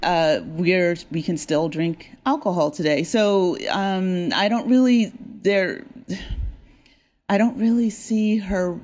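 A woman's voice: on the low side, takes it slowly, a little mellow. A woman speaking as an interview guest, conversational, earnest and explanatory. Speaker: interview guest, audio drama writer.

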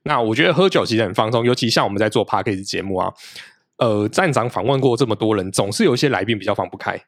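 Man, low voice, dry close sound, steady explainer. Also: fast talker, no room sound. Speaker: podcast guest, podcaster. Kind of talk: casual interview talk.

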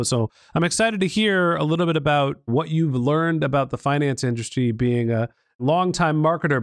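A man's voice: mid-range voice, no echo, studio quality. A man hosting a podcast interview, asking curious questions and openly admitting he is a newcomer to the field. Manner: curious, openly self-deprecating about finance. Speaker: podcast host. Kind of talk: conversational interview.

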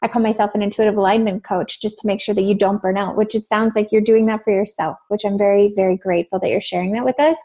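A woman's voice: mid-range voice, warm tone. A woman with a Canadian accent hosting a casual interview, effusive and affirming. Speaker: podcast host.

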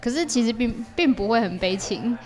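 A woman's voice: fairly high pitch, animated, brisk, a little mellow, faint music behind. A woman with a Taiwanese Mandarin accent chatting as a guest artist, explaining her work casually and correcting herself as she goes. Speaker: podcast guest, artist and creator of an audio drama.

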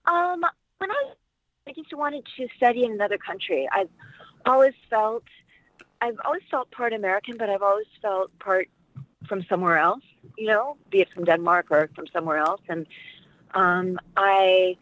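A middle-aged woman from Washington, D.C., talks through her life story in a loose, reflective way, pausing on fillers as she goes. Her pitch sits in an ordinary middle range. It sounds like a relaxed interview guest chatting. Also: dry recording, low-quality recording. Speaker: actress and dialect coach, interview guest. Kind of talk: casual interview conversation.

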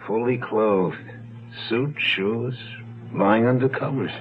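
An actor playing a brisk, teasing homicide cop with a blunt, casual manner in a radio drama. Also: male voice, mid-range voice, husky, soft background music. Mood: businesslike. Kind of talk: 1970s radio drama acting. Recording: dull lo-fi radio drama recording.

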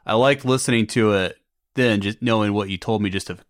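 A man hosting a podcast interview, talking in a relaxed, hedging way. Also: on the low side, brisk, no room sound, clean studio sound. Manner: relaxed, hedging with many "like" fillers. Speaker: podcast host. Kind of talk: casual interview chat.

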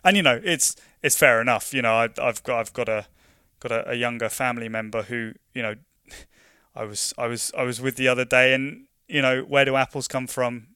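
A man's voice: medium pitch, steady tone, moderate pace, few pauses, pushed and bright, smooth, no room sound, clean studio sound. A young man from London with a London accent, talking casually in an interview, full of restarts and "you know" fillers. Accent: London English. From London, England. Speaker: conservation project worker.

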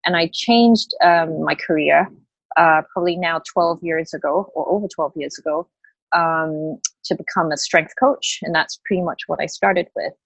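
A woman's voice: low voice, moderate pace, gravelly, no echo, poor audio. A woman in her late thirties who grew up in Australia, a health coach talking in a podcast interview, hesitant with many fillers and restarts. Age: late 30s.

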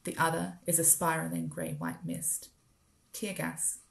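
An Australian woman reading her own literary writing aloud in a measured, deliberate, poetic way. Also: low-pitched, little pitch movement, creaky. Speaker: writer performing own work. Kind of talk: literary spoken-word reading.

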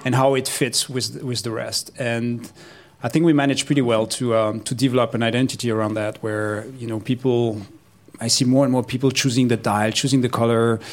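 A man from Switzerland answers as a guest in a live interview. His pitch is in the middle range, and he explains things haltingly, often repeating words. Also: fairly even intonation. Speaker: watch brand guest. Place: live event in a menswear store.

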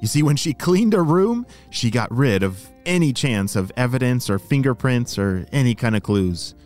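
A man's voice: mid-range voice, animated, normal speed, darkish tone, faint music behind. A man hosting a children's story podcast, narrating and explaining things simply to young listeners.

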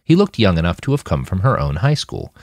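Male fiction narrator, very low voice, highly animated delivery, dry recording. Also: fairly quick, no room sound. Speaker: audiobook narrator.

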